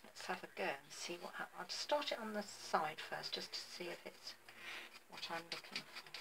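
A middle-aged woman with a low, gravelly voice chats her way through a painting demonstration, pausing on ums as she works. She is recorded on a phone over a work table, with clear background noise and clicks of tools. Her voice sits slightly off from the mic. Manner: chatty, thinking aloud while working, many ums. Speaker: artist demonstrating garden ornament painting. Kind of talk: casual craft tutorial.

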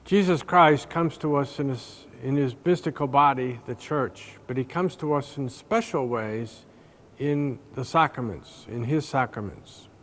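Slow, measured older priest. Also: male voice, mid-range voice, fairly bright tone, slight background noise. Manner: slow, measured reading from a book. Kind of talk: scripted reflection read aloud. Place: large stone church interior.

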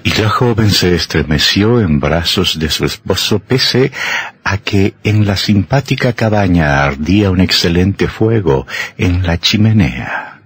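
A man narrating an old radio horror drama in a theatrical, measured, husky storytelling voice. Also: low-pitched, expressive.

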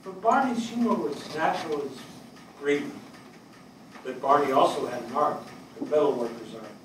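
An older man acting an earnest fire captain in a stage reading, delivering a measured eulogy for a fallen friend. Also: a little high, lively intonation, natural voice. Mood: fond. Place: indoor theatre stage. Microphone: distant camera. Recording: echoey stage, distant mic, faint noise.

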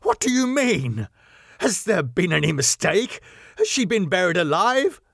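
A man voicing an anguished young English lord in an audiobook, proud, indignant and high-strung. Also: very animated, measured pace, creaky, a bit bright, no room sound, studio quality, exaggerated voice. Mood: shocked, anguished.

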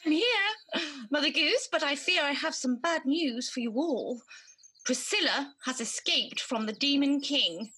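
A woman's voice: sits high, animated, measured pace, pushed and bright, exaggerated voice, poor audio. A woman playing an earnest, dramatic fairy in a radio pantomime. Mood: grave announcing.

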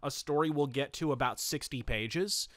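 A man's voice: medium pitch, normal speed, fairly bright tone, no room sound. A man hosting a solo review podcast, with a wordy, articulate and flourished way of talking. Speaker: podcast host.

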